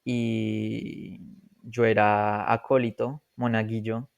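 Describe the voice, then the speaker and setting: A man's voice: fairly low pitch, creaky, clean tone, no echo. Laughing young Colombian man, dry sound. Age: 20s. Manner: relaxed, laughs often, reflective pauses. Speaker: podcast guest. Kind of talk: casual interview storytelling.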